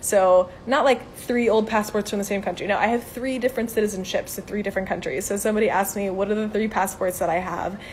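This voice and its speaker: A young woman in her twenties talks casually into a phone held at arm's length outdoors, answering questions on a live stream. Her talk is chatty and upbeat, full of "um" and "like", at a middle pitch. A faint low rumble sits behind her voice. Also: steady tone, fast talker, hardly any pauses, a little mellow. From Venezuela. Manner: chatty, upbeat, many fillers like "um" and "like". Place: outdoors by a brick building. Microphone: phone camera at arm's length.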